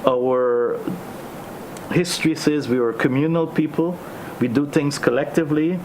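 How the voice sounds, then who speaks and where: A Guyanese man leading a discussion, speaking in a measured way with many pauses and ums. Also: on the high side, darkish tone, slight background noise, low-quality recording. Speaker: host.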